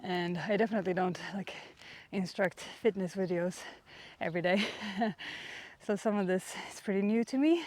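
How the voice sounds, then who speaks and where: A woman with a Swedish accent, a native Swedish speaker, leading a workout while exercising. She is chatty and out of breath, and pauses to search for words. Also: mid-range voice, a little mellow. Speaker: fitness instructor. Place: outdoors.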